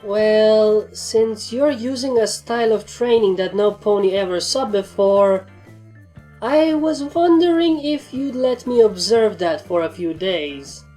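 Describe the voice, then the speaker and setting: A woman's voice: mid-range voice, expressive, unhurried, warm tone, soft background music, natural voice. A woman voicing an eager, studious young female pony who talks politely and a little awkwardly in a story. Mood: eager, helpful.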